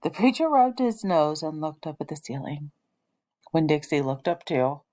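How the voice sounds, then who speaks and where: A woman's voice: low voice, big pitch swings, moderate pace, warm tone. A woman teacher reading a children's novel aloud expressively, as if to an elementary class.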